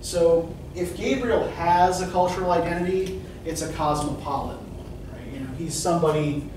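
A man's voice: a little high, moderate pace. A middle-aged man teaching literature to a class in conversational lecture style, often checking in with "right?" and asking students questions. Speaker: literature professor. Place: classroom with whiteboard. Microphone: far camera at the back of the room. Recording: echoey classroom from far off, noisy.

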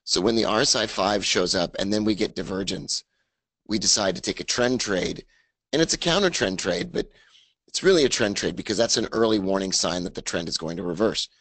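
A man with a raspy voice hosting a casual trading webinar, upbeat as he talks through his charts. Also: sits low, lively intonation, brisk, a bit bright, dry recording, rough recording. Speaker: webinar host. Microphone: studio mic, screen share.

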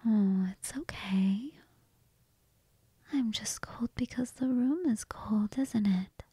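A woman voicing a cold, hungry female vampire, speaking in a slow, breathy whisper right at the microphone. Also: a little high, mellow, dry recording, full character voice. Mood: seductive. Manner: slow, intimate, purring murmurs. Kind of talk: whispered ASMR roleplay. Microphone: close mic.

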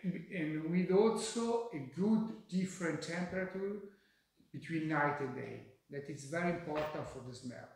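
A middle-aged Italian man whose first language is Italian, answering interview questions in halting English with many fillers, recorded by a far camera in an indoor tasting room. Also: on the high side. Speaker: winemaker. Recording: very echoey room.

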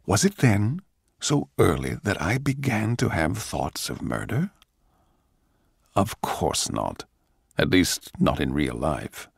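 A man playing a bitter, envious male court composer, narrating in a measured, theatrical, sardonic way for radio drama. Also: low-pitched, sing-song, gravelly, breathy edge, no room sound, clean studio sound, exaggerated voice. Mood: brooding, sardonic.

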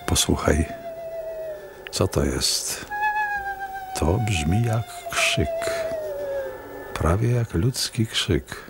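Deep, dramatic commanding boss. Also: male voice, huge pitch swings, drawn-out words, pauses often, loud music behind the voice, heavily acted. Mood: wary, irritated. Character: cruel, commanding boss who turns to desperate pleading. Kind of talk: radio play acting.

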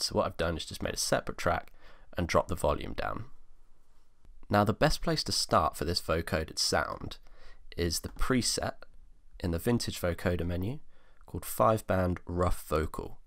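Low-pitched man presenting a tutorial. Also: fairly bright tone. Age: 20s. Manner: clear step-by-step explaining. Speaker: music production tutorial host. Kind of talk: instructional screencast tutorial, explanatory.

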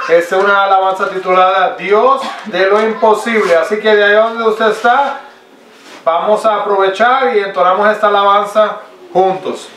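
A middle-aged man leads a small home church service and introduces the next hymn. His voice sits high for a man. The room gives it a roomy echo, with a faint hiss behind him. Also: steady tone, moderate pace, fairly bright tone. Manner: helps his wife with words, then announces the next hymn. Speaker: pastor. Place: small home room set up as a chapel, indoors.

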